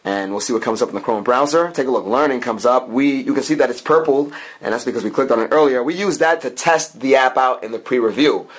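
A man with a mid-pitched voice reviews an app hands-on. His delivery is casual and improvised, like a channel host explaining each step as he goes. He tends to circle back and restate his points. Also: fast, few pauses. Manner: talks in plural "we", informal, improvised and repetitive. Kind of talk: casual hands-on product demo commentary.

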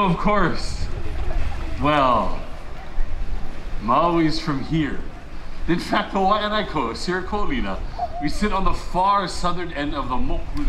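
A man telling stories live to guests in a playful, folksy showman's way, with dramatic pauses. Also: fairly high pitch, noisy background. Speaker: resort storyteller. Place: outdoor poolside deck at a resort. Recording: echoey live outdoor sound, music behind. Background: musical instrument.

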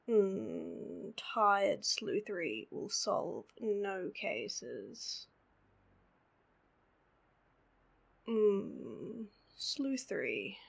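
A woman's voice: medium pitch, very animated, very slow, creaky, husky, full character voice. A woman with an Australian accent playing a sleepy, needy, playful girlfriend in an intimate roleplay audio, in a drowsy, whiny drawl. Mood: sleepy, silly.

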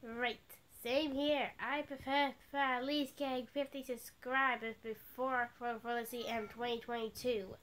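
A young girl chatting with a friend during a game video, rambling with lots of pauses and self-corrections. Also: a little high, little pitch movement, a bit bright, slight background noise, low-quality recording. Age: child. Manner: rambling, many fillers and restarts. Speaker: friend co-hosting. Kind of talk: casual chat.

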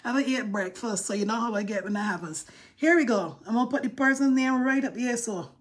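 Chatty Barbadian woman on a live stream. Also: fairly high pitch. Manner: chatty and playful, sassy asides. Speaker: livestream host. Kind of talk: casual live-stream chat. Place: room indoors by a window. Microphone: phone held close, selfie framing.

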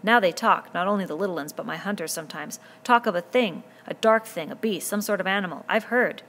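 A woman's voice: medium pitch, fairly quick, fairly bright tone, close to an everyday voice. A woman reading a novel aloud, voicing a scornful, bullying boy who leads the hunters and sneers at the younger ones. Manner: sneering, dismissive.

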